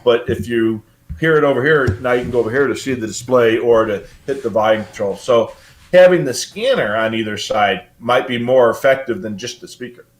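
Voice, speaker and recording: Casting: older man, medium pitch, hosting an informal hobby livestream, talking in a meandering, practical way. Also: brisk. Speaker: live show host. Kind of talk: casual live hobby talk.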